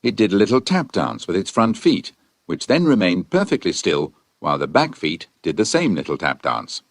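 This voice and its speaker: A man's voice: sits low, sing-song, moderate pace, no echo. A man reading scripted storybook narration as the narrator of a children's audiobook drama.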